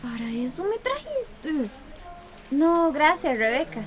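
A woman's voice: high voice, very animated, slow pace, full character voice. An actress playing a guarded, reluctant young woman in a radio drama, with a Costa Rican accent.

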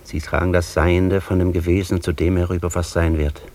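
An actor playing a rapt, contemplative scholar, speaking solemnly and declaiming poetically in a radio play. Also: male voice, low-pitched, little pitch movement, brisk, a little mellow. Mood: awed, rapt.

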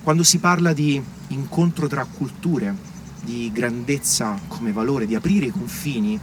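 A man acting as an earnest separatist politician gives measured, rhetorical answers in a stage play. His mid-pitched voice sits away from the mic in a roomy, noisy space. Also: natural voice. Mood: resolute. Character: earnest male small-town separatist politician being interviewed. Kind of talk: naturalistic stage drama acting, political interview. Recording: roomy, distant, clearly noisy stage recording.

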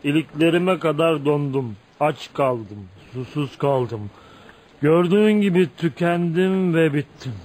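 Tormented, brooding outcast, higher-voiced. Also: male voice, darkish tone. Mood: broken, exhausted. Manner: dramatic, emotional delivery. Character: tormented, bitter 35-year-old outcast man, brooding and volatile. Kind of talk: radio play acting. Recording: noisy radio drama recording.

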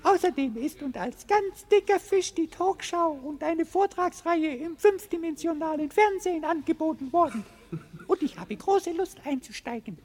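A woman's voice: very high voice, lively intonation, normal speed, darkish tone. A woman playing a smug, businesslike male mouse in a radio play, condescending and commanding. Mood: self-satisfied.

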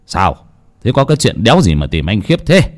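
A man voicing a gruff, coarse potbellied older brother in a horror story. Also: fairly low pitch, sing-song, fairly quick, pitched below the performer's own voice.

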